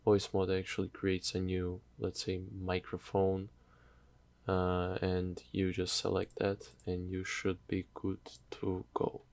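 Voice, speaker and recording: A man narrating a casual software tutorial in a hesitant, halting, off-the-cuff way. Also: deep voice, completely flat delivery.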